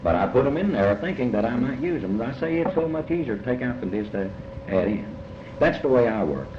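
An older man, being interviewed as a writer and speaking reflectively, with halting, repetitive, rambling phrasing. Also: mid-range voice, sing-song. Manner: halting, rambling, with many repetitions and long hesitations. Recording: muffled, echoey, noisy amateur interview tape.

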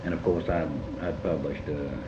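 A middle-aged man from eastern Kentucky with an Appalachian accent, reminiscing in an interview in a halting, reflective way with many pauses. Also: rumbling low, fairly even intonation. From eastern Kentucky, United States. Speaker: writer being interviewed. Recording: muffled, noisy, roomy amateur tape.

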